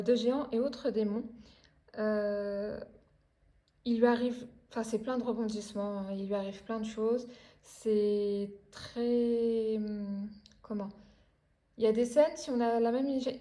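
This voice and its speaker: A woman's voice: fairly high pitch, warm tone. A French woman in her thirties chatting casually about her reading, with lots of hesitations and restarts. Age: 30s. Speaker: craft and book vlogger. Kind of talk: casual chat about books.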